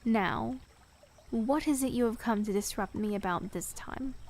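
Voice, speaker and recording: Strong-willed young fantasy princess, played by a woman: high voice, slow formal delivery, loving yet proud. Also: darkish tone. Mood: haughty, reproving. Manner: formal, archaic storybook diction. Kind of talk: fantasy audio drama acting.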